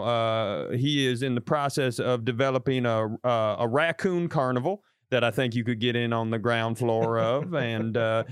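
A man's voice: clean tone, no echo, studio quality, natural voice. A man doing a folksy backwoods money advisor. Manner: slick salesman pitch.

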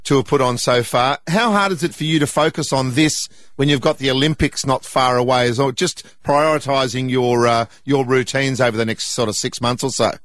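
A man's voice: medium pitch, fairly quick, few pauses, a bit bright, no echo. A man with an Australian accent hosting a radio sports show in casual, blokey, joking chat. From Sydney, Australia.

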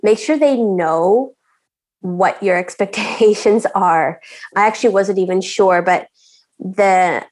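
A woman's voice: mid-range voice, slow pace, a little mellow. A woman from Canada chatting as a podcast guest, laughing often and restarting her phrases as she talks. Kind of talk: casual chat.

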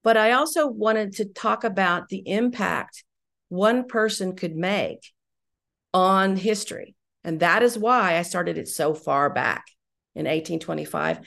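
Low-voiced middle-aged woman, enthusiastic. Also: a little slow, gravelly, a bit bright. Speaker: podcast guest, audio drama writer-director. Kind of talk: conversational interview answers.

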